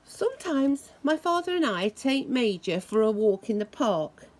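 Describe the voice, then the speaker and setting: A woman reading a children's story aloud in a deliberate, expressive way, imitating the sounds it describes. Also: fairly high pitch. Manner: deliberate, acts out noises expressively.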